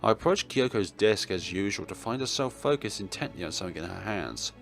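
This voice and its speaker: A man's voice: on the low side, lively intonation, a little fast, gravelly, faint music behind. A man hosting a let's play, reading dramatic narration aloud with casual, jokey asides.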